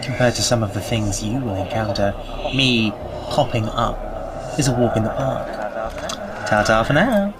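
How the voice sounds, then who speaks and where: A man's voice: on the low side, normal speed, long pauses, very noisy, natural voice. An actor playing a cheeky, flippant augmented man in an audio drama. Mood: cocky. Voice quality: chatty, cocky.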